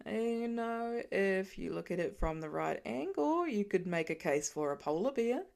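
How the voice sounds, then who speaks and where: A woman narrator plays a farmer father in an audiobook, gruff on the surface and fond underneath. The voice is creaky, grousing and teasing, given to hammy mock offense. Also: mid-range voice, sing-song, unhurried, a little mellow. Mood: hesitant, tactful. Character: gruff, teasing farmer dad, warm and playful.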